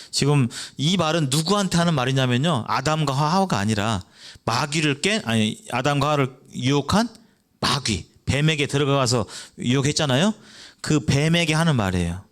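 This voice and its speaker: Korean male preacher quizzing the congregation. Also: a bit bright. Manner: asks the audience questions and answers them himself; acts out children's voices in a brief high voice. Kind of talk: evangelistic sermon.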